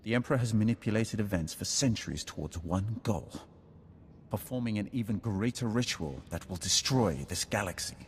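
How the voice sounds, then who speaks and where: An actor playing a grave, proud Sith lord in a video game, making measured, ominous declarations. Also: male voice, fairly low pitch, lively intonation, slight background noise, natural voice. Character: grave Sith lord defector, proud, coldly calculating seer. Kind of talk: dramatic video game acting. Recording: loud music behind the voice.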